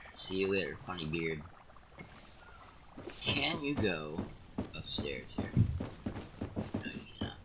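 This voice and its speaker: A man's voice: deep voice, big pitch swings, slow pace, pauses often. A man giving casual, offhand gameplay commentary in a laid-back mutter. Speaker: gamer recording a walkthrough. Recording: loud noise over the voice.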